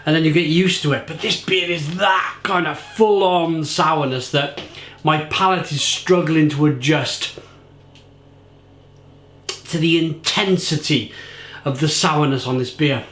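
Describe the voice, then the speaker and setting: A man talks a beer through to camera with rambling enthusiasm, circling back and repeating himself. His voice sits a little high and has gone slightly croaky. The room around him gives a noticeable echo. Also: measured pace, a bit bright. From England. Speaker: beer reviewer. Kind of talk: casual tasting review to camera.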